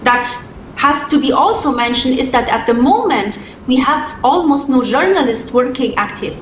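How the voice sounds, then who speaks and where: A woman in her thirties from Afghanistan, answering interview questions with many hesitations and restarts. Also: a little high. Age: 30s. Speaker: interview guest. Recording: echoey distant remote call with faint noise.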